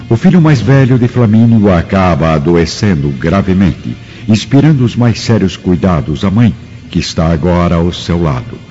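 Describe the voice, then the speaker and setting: Deep, animated Brazilian male narrator. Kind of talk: dramatic scripted radio narration. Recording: muffled radio production with music.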